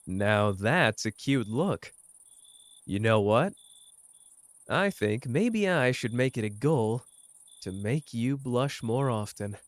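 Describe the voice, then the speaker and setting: A man's voice: medium pitch, expressive, slow, pauses often, dry recording. Guy voicing a cocky but tender young sorcerer, asmr roleplay, takes his time, dead dry sound. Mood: tender, teasing. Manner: informal, hesitant when talking about feelings. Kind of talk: intimate roleplay audio acting.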